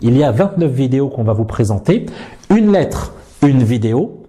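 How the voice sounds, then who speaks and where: Mellow-voiced French-born teacher. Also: male voice, medium pitch, sing-song, normal speed. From France. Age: 40s. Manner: chatty, self-mocking, earnest. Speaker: Arabic teacher and institute director. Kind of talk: casual self-introduction.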